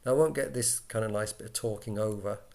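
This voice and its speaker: Male software trainer, lowish and creaky, informal and tentative, on a laptop mic with light noise and key clicks. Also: steady tone, quick pace. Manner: casual, hesitant, thinking aloud. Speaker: tutorial presenter for radio volunteers. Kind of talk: informal software screencast tutorial. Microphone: built-in laptop microphone.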